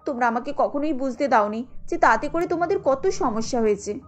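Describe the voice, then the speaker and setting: A woman's voice: sits high, lively intonation, fairly quick, lo-fi sound, faint music behind. A woman voicing an earnest, remorseful young married daughter in a cartoon story, pleading and emotional.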